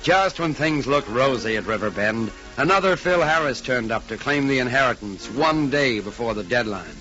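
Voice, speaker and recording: A man's voice: medium pitch, animated, moderate pace, pushed and bright. A man delivering scripted narration as a 1940s radio drama announcer. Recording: dull 1940s radio recording, faint music.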